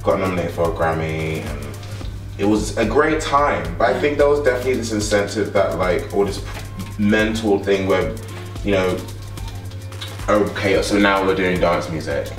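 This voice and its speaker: A young man talks in a laid-back, rambling way, full of fillers. His low voice sounds a little distant. The kitchen has a roomy echo, with faint music behind him. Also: moderate pace. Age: young adult. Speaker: guest, music producer. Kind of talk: casual chat. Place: home kitchen. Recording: echoey kitchen, mic at a distance.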